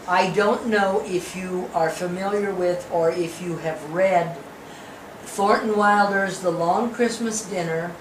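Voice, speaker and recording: A woman in the audience giving measured, blunt feedback at a discussion after a play reading. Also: lowish voice. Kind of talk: structured spoken critique. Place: theatre during post-reading discussion, far from mic. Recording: echoey room, distant mic, faint noise.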